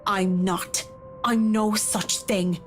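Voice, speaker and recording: A woman's voice: mid-range voice, fairly even intonation, slow, faint music behind, close to an everyday voice. A woman voicing a firm, defiant young interior designer in a visual novel game. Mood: indignant.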